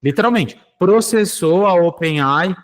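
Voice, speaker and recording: Guy walking viewers through an ai tool live, kinda high voice, keeps saying tá and né. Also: animated, measured pace. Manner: explanatory, addresses listeners directly with frequent "tá?" and "né?". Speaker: radio show host. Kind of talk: live software demonstration.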